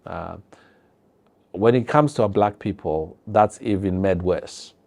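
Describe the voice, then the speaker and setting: Deep-voiced Zimbabwean man, mellow and earnest. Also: slow, vocal fry. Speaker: public health professional. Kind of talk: explanatory interview talk.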